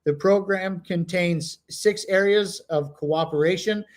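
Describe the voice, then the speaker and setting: A man's voice: high voice, measured pace. A man co-hosting a podcast, chatting casually with many fillers and reading from notes. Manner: rambling, many "you know" fillers. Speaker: podcast co-host. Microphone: home computer mic, no camera.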